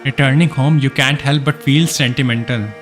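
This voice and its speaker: A man's voice: medium pitch, fairly even intonation, normal speed. A man live-streaming a game, reading story text aloud and dropping in casual reactions. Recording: background game music. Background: game music.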